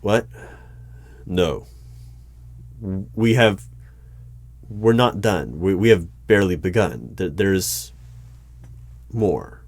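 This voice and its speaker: A man playing a formal, easily flustered hiring manager in an ASMR roleplay, speaking in measured, halting phrases. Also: sits low, lively intonation, darkish tone, heavily acted. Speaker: performer of a one-sided roleplay. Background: computer keyboard typing.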